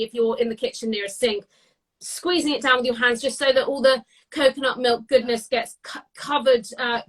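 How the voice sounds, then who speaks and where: A British woman giving a casual live cooking demonstration, chatty and descriptive, often pausing mid-phrase as she explains. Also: fairly high pitch, fairly bright tone, low-quality recording. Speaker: guest cook demonstrating a recipe.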